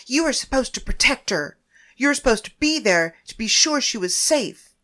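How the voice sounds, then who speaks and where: A woman's voice: fairly high pitch, very animated, normal speed, fairly bright tone, pitched above the performer's own voice. A woman reading a story voices a grieving, furious woman who screams accusations, shrieking and near tears. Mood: enraged screaming.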